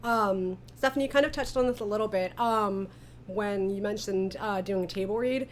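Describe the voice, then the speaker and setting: A woman hosting a casual interview, speaking in an informal, halting way. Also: mid-range voice, slight background noise. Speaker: interviewer, audio drama director.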